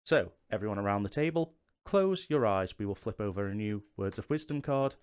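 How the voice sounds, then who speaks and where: A man's voice: on the low side, expressive, brisk, a little mellow, smooth. Chatty man explaining game rules. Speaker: host of a board game tutorial channel. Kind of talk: casual how-to-play explanation to camera.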